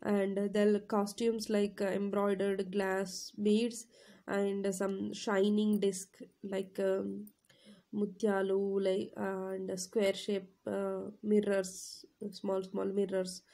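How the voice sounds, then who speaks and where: Teacher with a cold, gravelly voice. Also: female voice, mid-range voice, monotone, slow, mellow. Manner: fillers and checking questions to students. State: has a cold. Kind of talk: classroom lecture, reading then explaining.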